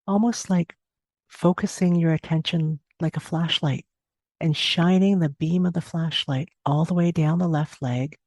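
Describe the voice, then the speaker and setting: A woman leads a guided meditation in a dark, relaxed voice with a creaky edge. She gives gentle, unhurried instructions and leaves long pauses between phrases. The recording is close and dry, with no room sound. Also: sits low, dry recording. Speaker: meditation teacher. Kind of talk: guided body scan meditation narration.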